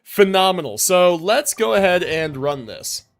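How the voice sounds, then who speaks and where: Higher-voiced man with a lively delivery. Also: unhurried, gravelly. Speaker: live streamer. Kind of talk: casual chat while livestreaming gameplay.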